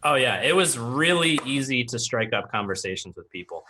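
A young man co-hosting a podcast, talking loudly and animatedly in casual chat. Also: mid-range voice, normal speed, background noise. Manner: loud, animated, talkative. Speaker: podcast co-host.

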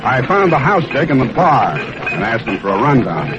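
An actor playing a cool, wry American private detective in a 1940s radio drama, with laconic, slangy hard-boiled delivery. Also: male voice, medium pitch, big pitch swings, normal speed, close to an everyday voice. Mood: wry narrating. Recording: muffled vintage radio broadcast, music underneath.

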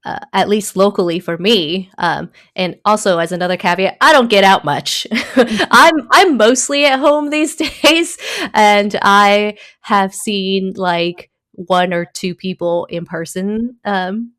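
A woman from Taiwan, a guest in a casual conversation, talking thoughtfully with many pauses, fillers and small laughs. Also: mid-range voice, a bit bright, no room sound, clean studio sound.

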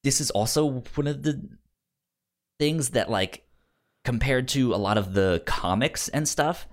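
Animated man with a stammer. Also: medium pitch, measured pace. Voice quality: stutters, repeating syllables and words. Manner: animated, acts out mock quotes. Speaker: podcast co-host debating films. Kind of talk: casual film debate chat.

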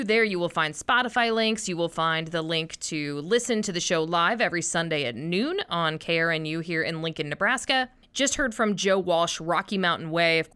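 A woman's voice: on the low side, pushed and bright, smooth. A woman presenting and interviewing on a music radio show in an enthusiastic, conversational way.

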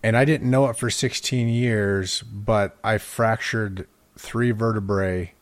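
A man's voice: lowish voice, steady tone, slow. A middle-aged man from Utah with an American accent, telling personal stories casually as a podcast interviewee. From Utah, United States. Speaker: podcast host being interviewed as guest.

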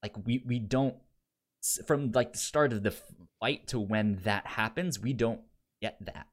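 A man's voice: mid-range voice, measured pace. A man co-hosting a podcast in a casual review discussion, stammering and often repeating syllables and words. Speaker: podcast co-host.

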